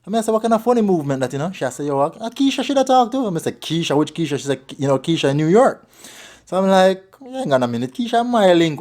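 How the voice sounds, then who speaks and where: A man's voice: sits high, darkish tone. A Jamaican man talking casually on a prank phone call, with repetitive questioning. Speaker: prank show host.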